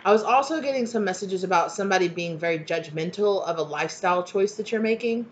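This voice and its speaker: A woman's voice: mid-range voice, fast. A woman in her thirties, a little stuffed up from a cold, giving a chatty, reassuring tarot reading to her webcam in a home kitchen, with many fillers and asides. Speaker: tarot reader. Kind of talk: casual channeled tarot reading to camera. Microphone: webcam at desk distance. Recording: slightly dull webcam audio.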